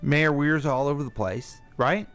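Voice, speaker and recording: A man's voice: on the high side, moderate pace, a bit bright, soft background music. American man in casual radio banter. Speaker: radio co-host asking questions. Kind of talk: casual radio talk show chat.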